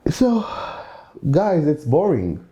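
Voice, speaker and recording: Israeli man, around forty, mellow tone, casual monologue, fillers and emphatic repeats. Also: on the high side, huge pitch swings, slow pace, dull audio. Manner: chatty, many "you know" fillers, emphatic repeats. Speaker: channel host.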